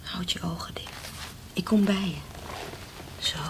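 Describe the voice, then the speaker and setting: A woman's voice: on the low side, fairly even intonation, unhurried, in a whisper. An actress playing a tender, protective young woman caring for a sick beloved, soothing and intimate, in a radio drama. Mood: hushed, protective. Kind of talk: Dutch radio drama acting.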